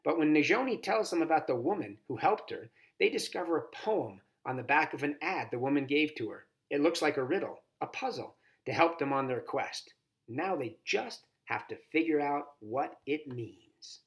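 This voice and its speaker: A man's voice: a little high, lively intonation, normal speed. Man reading a children's novel aloud. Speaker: volunteer story reader. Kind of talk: expressive children's book read-aloud.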